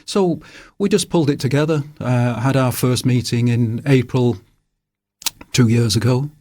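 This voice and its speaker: A man's voice: medium pitch, measured pace, dry recording. Older Manchester-accented man, dry studio sound. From England. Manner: relaxed and rambling, with many fillers. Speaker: author guest. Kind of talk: radio interview. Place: radio studio.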